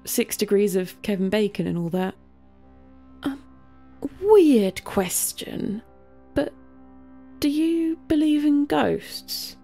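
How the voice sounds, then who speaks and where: A woman's voice: fairly high pitch, very animated, takes it slowly, vocal fry, long pauses, mellow, faint music behind, exaggerated voice. A woman voicing an awkward, chatty girl in a story, casual and hesitant.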